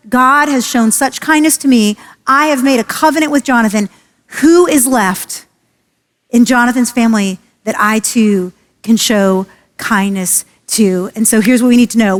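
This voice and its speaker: High-voiced woman preaching in a reverberant church. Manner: earnest, emphatic preaching with slowed, dropped key phrases. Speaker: pastor. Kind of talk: sermon. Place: church sanctuary. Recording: long hall reverb.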